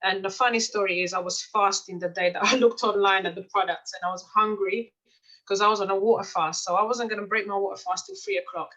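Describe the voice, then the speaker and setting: Woman, bright tone, chatty, fillers and restarts, interview. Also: medium pitch, fairly even intonation, fast, low-quality recording. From Mozambique. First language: Portuguese. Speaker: life coach and client. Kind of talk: testimonial interview, casual.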